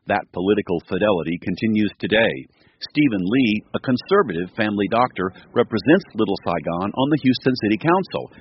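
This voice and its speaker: A man reporting for radio news, telling the story in a measured, scripted narration. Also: husky, no room sound, dull audio. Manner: measured storytelling delivery with lively pitch.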